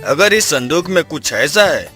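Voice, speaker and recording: A man plays a humble, brave village father in an animated moral story. He speaks slowly in a bright, forceful voice, solemn and reassuring. Music plays softly behind him. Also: on the high side, exaggerated voice. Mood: solemn, devout.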